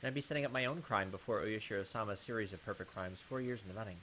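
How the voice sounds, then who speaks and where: A man's voice: fairly low pitch, racing, a bit bright. Man reading aloud over background hiss. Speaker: host of a game playthrough channel. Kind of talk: reading visual novel narration aloud with casual asides.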